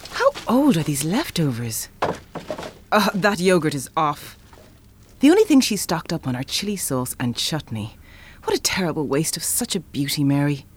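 A woman playing a playful, flirty and ambitious chocolatier in an audio drama, teasing and expressive. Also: low voice, moderate pace, slight background noise. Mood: breezy, playful.

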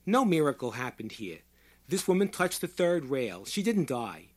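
A man's voice: fairly high pitch, big pitch swings, normal speed. A man voicing a rational male doctor in an audiobook, raising his voice in short, urgent explanations. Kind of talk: audiobook character voice.

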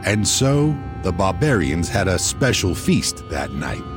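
A man's voice: sits low, sing-song, unhurried. A man narrating a comic radio drama in a mock-epic, theatrical storytelling style. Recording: loud music under narration.

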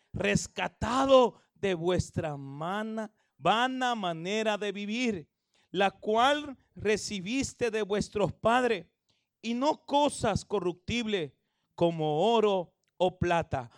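A man, preaching an evangelistic sermon and leading the congregation in reading, with phrasing that swells into shouts. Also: sits high, no room sound, studio quality. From El Salvador. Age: 30s. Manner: calls everyone "hermano", drawn-out emphatic phrasing. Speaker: pastor.

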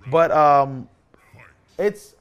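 A man's voice: on the high side, extremely slow, clear, resonant tone. A man speaking as a podcast co-host in a casual debate, insistent and repeating himself for emphasis.

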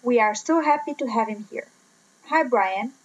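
Woman, podcast host, fairly high voice, scripted interview delivery. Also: lively intonation, moderate pace, dull audio. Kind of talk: scripted introduction and interview questions.

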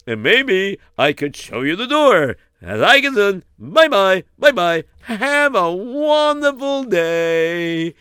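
A man voicing a brisk, exuberant rich philanthropist in a comic story, emphatic and repeating himself cheerfully. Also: high voice, sing-song, bright, forceful tone, clear, resonant tone, no echo, studio quality, pitched above the performer's own voice, full character voice. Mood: cheerfully firm. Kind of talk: acted dialogue in a comic story.

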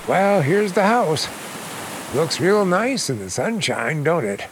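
High-voiced, folksy, wheedling salesman. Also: big pitch swings, slow pace. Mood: chatty. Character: eager, folksy male house salesman. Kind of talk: 1940s radio acting. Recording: noisy old radio recording, engine hum.

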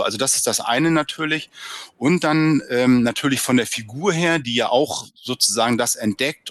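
A man talks at a mid pitch, thinking aloud and circling back with small fillers and quick tag questions. He explains at length, and his voice sounds close and dry, with no room around it. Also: fairly bright tone, no room sound. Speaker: author and former soldier, interview guest. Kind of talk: reflective conversational interview answer.

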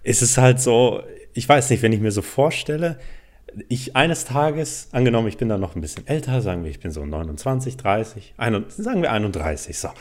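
A man's voice: mid-range voice, expressive, brisk. A man, co-hosting a casual podcast, telling stories in a colloquial, sweary, ranting way and acting out quotes. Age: 20s. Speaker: podcast co-host. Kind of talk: casual podcast banter and storytelling.

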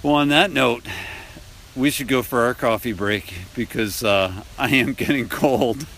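A man's voice: medium pitch, unhurried, a bit bright, slight background noise. A man hosting a podcast outdoors in the cold, talking in a casual, appreciative way with loose fillers. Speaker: podcast host. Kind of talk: casual interview chat.

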